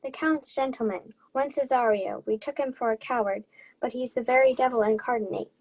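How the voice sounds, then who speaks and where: High, plaintive young woman as a cowardly knight. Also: moderate pace, darkish tone, poor audio. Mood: aggrieved. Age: young adult. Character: whining, cowardly injured knight, a foolish man. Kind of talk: 1940s radio play comic acting.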